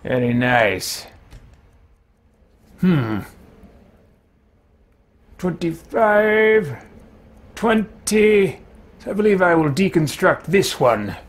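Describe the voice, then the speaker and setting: A man voicing a fussy, absent-minded, genteel fantasy wizard, musing in formal, deliberate phrases as he roleplays through a video game. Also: sits high, very animated, exaggerated voice. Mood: pleased, pondering. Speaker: let's-play streamer roleplaying his game character. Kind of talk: in-character game roleplay commentary. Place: small indoor room at home.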